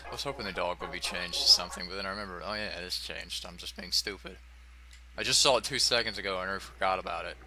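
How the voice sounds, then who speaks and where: A man's voice: sits low, fairly even intonation, fast talker, sharp tone, soft background music. A man giving casual, sweary and self-deprecating commentary as he plays a video game. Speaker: let's player.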